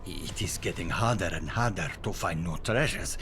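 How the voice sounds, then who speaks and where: An actor playing a proud, defensive cult prophet with a pompous manner in a dramatic video game scene. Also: male voice, sits low, moderate pace, music in the background.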